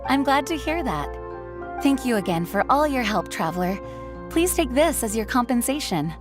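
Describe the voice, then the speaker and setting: A woman's voice: a little high, very animated, moderate pace. A woman playing a gracious, dutiful female knight leader in an anime game, composed and polite. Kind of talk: anime video game voice acting. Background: music.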